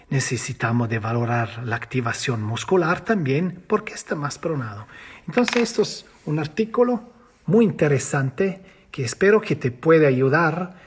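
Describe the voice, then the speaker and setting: Husky-voiced man lecturing in non-native Spanish. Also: medium pitch. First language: not Spanish. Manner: lively, asks and answers his own questions. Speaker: physiotherapy lecturer. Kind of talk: teaching a course, explaining slides.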